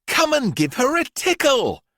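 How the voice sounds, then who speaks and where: A man's voice: extremely high pitch, wildly swooping pitch, a little slow, bright, forceful tone, voice pushed up, exaggerated voice. A man voicing a proud, cheeky little schoolgirl in a storybook, with confident, playful delivery. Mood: coaxing.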